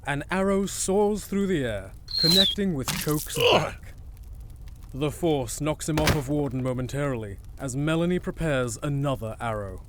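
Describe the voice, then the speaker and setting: A man's voice: on the high side, normal speed, background noise, close to an everyday voice. A man reading dramatic scripted narration for an audio drama as its narrator.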